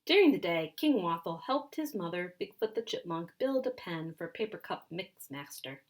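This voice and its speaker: A woman reading a children's story aloud in an animated, expressive and emphatic way. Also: on the low side, moderate pace. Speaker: children's story reader, speech and language pathologist.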